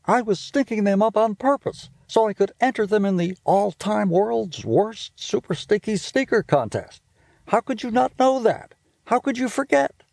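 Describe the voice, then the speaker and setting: A man's voice: high voice, expressive, normal speed, vocal fry, a little mellow, dry recording, voice pushed up, full character voice. A man doing an indignant little boy, raised and boyish.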